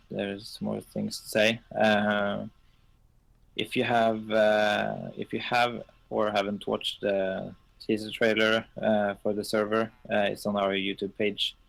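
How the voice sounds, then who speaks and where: A man whose first language is Norwegian, co-owner of a game server, talking haltingly on a casual live stream with lots of fillers. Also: fairly low pitch, a bit bright, low-quality recording. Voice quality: gravelly.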